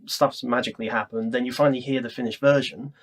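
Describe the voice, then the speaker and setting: A British man interviewing casually, enthusiastic and chatty, quick to agree with his guest. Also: medium pitch, fairly even intonation, husky. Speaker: interviewer, presenter. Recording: distant ambient room mic.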